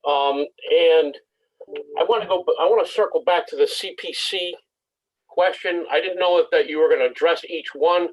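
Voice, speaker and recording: Man in the public, rambling, apologetic, slightly high voice on a muffled line. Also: normal speed. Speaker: member of the public. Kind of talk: public comment at a meeting. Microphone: video call. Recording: muffled video-call audio.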